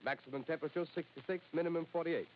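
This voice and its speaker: Man as 1930s weather announcer, quick, matter-of-fact report, muffled old radio, faint hiss. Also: a little high, steady tone, close to an everyday voice. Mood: plain.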